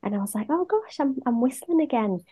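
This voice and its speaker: Mom guest, soft warm voice, thoughtful chat with lots of ums. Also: female voice, high voice, animated, a little fast, slightly rough. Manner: gentle, reflective, frequent fillers. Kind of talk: casual conversation.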